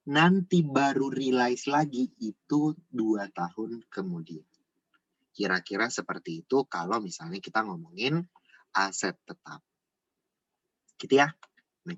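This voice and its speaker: Husky, muffled man tutoring informally. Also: medium pitch, a bit bright, no echo. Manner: repeats himself, frequent checking tags. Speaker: accounting tutor. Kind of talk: informal tutorial lecture explaining step by step.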